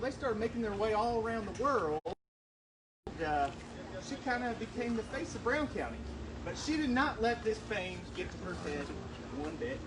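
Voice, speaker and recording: A high-pitched man plays host and storyteller at a live show. He talks in a folksy, down-home manner with colloquial country grammar. The microphone is some distance away, and there is clear background noise. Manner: folksy, colloquial rural grammar and drawl phrasing. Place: live show at an art guild event. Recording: distant mic, noisy live event ambience.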